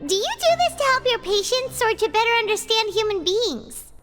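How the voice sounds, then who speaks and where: Tiny cheerful game fairy: extremely high, swooping childlike squeak, always hungry, music behind. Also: female voice, big pitch swings, normal speed. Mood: curious. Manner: speaks of self in third person, exclaiming. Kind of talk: video game voice acting.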